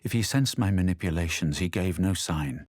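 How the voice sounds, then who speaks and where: A haughty fantasy game adventurer. He is a self-assured man with a low, slightly husky voice. His delivery is measured, theatrical and superior. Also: darkish tone, dry recording, clean studio sound. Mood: composed, measured. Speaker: video game voice actor. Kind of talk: fantasy game dialogue and narration.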